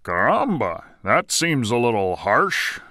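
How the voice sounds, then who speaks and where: A man's voice: mid-range voice, big pitch swings, slow, bright, forceful tone, no room sound, studio quality, full character voice. A man voicing a timid, pleading Spanish-speaking pirate sailor who mutters, in a game. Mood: fearful.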